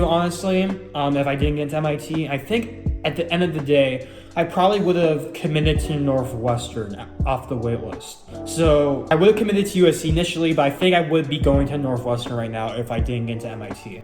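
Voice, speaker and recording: A young man in his late teens, rambling casually through viewer questions with lots of fillers and self-deprecating asides. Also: on the high side. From United States. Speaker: YouTuber answering viewer questions. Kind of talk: casual Q&A chat. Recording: roomy room with background music.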